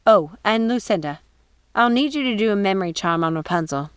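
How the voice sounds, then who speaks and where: A woman's voice: medium pitch, animated, fairly quick, clear, resonant tone, no echo, clean studio sound, close to an everyday voice. A woman voicing a cunning witch who fakes sweetness and concern. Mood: scheming.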